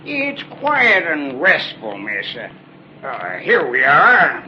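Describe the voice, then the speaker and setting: An actor playing a shifty, nervy crook with hesitant speech, in the style of 1940s radio drama. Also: male voice, on the high side, huge pitch swings, slow pace, exaggerated voice. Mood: nervously polite. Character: nervy, shifty crook posing as polite chauffeur. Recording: muffled, faintly noisy old radio recording.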